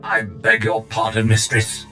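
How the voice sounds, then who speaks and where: Low, fussy servant droid. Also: male voice, monotone, unhurried, bright, forceful tone, soft background music. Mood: prissy complaint. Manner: formal, complaining. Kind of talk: video game character acting.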